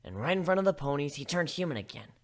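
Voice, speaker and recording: Man narrating a story with lively intonation. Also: fairly high pitch, quick pace, vocal fry. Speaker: story reader. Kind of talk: scripted first-person narration of fan fiction.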